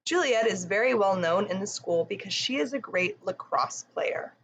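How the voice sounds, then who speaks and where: A woman's voice: medium pitch, expressive, moderate pace, a little hiss or hum, rough recording. A woman narrating a children's book as a scripted read-aloud. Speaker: storybook narrator.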